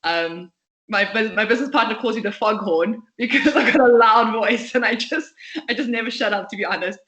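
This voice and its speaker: Chatty, outspoken young woman founder, fast bright delivery, roomy sound. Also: fairly high pitch. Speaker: CEO and co-founder, guest. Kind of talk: podcast interview. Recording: echoey room.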